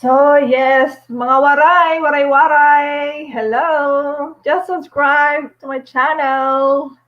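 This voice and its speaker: A woman's voice: sits high, steady tone, takes it slowly. A woman in her forties, a nurse and vlogger chatting on a casual live stream at home, upbeat, with many fillers and little laughs. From Philippines. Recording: dull lo-fi stream audio.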